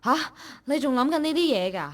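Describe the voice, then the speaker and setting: A woman's voice: high-pitched, expressive, brisk. An actress playing a nosy young female office colleague in a radio drama, talking in a blunt, colloquial, gossipy way. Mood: gossipy, alarmed. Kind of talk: Cantonese online radio drama acting.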